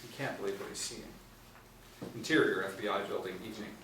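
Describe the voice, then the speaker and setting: A man's voice: on the low side, fast talker. A man in his forties reading stage directions as scripted narration at a table read. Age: 40s. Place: small indoor room. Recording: echoey room, distant mic, background noise.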